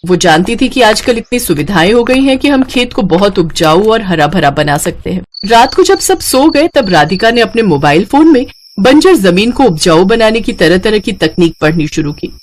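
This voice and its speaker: Medium-pitched woman storyteller. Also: very animated, normal speed, a little hiss or hum. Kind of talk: scripted Hindi story narration.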